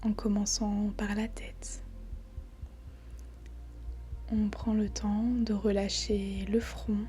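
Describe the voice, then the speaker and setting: A woman guiding a body scan meditation in a soft, breathy, near-whispering voice, calm and soothing, in short phrases with long pauses. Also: medium pitch. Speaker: meditation guide. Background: faint background music.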